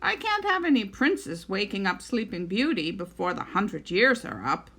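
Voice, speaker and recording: A woman voicing a suspicious, nasty old fairytale witch with a croaky, questioning delivery. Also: mid-range voice, very animated, normal speed, a bit bright. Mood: suspicious, menacing.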